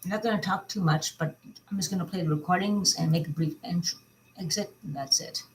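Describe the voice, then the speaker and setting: Woman giving halting, emphatic commentary. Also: lowish voice, slight background noise, poor audio. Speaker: vlog host commenting on played recordings. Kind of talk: informal opinion commentary.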